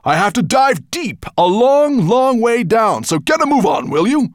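A man's voice: very high voice, very animated, a little slow, no echo, studio quality, voice pushed up, full character voice. A man reading aloud and voicing a huge, greedy male dragon villain who roars and growls impatiently. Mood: roaring, gloating.

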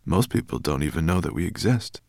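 A man voicing a curious, gentle male dragon who asks earnest, wondering questions. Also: very deep voice, sing-song, fairly quick, creaky, no echo, studio quality, full character voice. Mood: grateful, curious. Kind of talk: audiobook character reading.

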